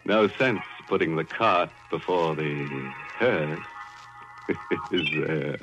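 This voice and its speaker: Deep-voiced ghoulish horror host, macabre punster, dull old radio sound. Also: male voice, takes it slowly, over music. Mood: mocking. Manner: macabre puns, mocking chuckles. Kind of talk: 1940s radio acting. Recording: dull 1940s radio broadcast.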